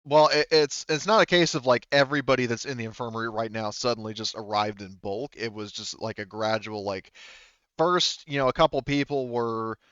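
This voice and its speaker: A man with a smooth, clear, lowish voice acts as game master for a tabletop game. He explains in a casual, hedging, conversational way, recorded dry on a slightly muffled mic. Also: brisk, a bit bright, dry recording.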